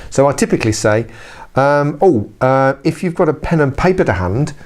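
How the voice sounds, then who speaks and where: A man's voice: medium pitch, animated, moderate pace, a little mellow. Man, British accent, middle-aged, informal instructional talk, chatty trainer, wry, self-correcting. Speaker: business trainer presenting a video blog.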